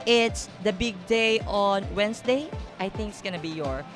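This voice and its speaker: A woman's voice: medium pitch, normal speed. Playful woman interviewer. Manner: teasing, giggly. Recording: music playing behind.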